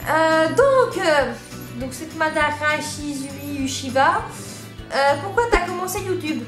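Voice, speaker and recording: A woman's voice: sits high, expressive, measured pace. A woman chatting casually as a co-host who reads out questions. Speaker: co-host reading viewer questions. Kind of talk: casual chat. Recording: echoey room with music behind.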